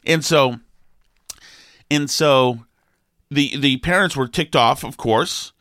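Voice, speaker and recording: A man's voice: medium pitch, takes it slowly, a bit bright, no echo, studio quality. A man co-hosting an opinionated talk show, sniffly, rambling with lots of fillers and restarts before turning emphatic.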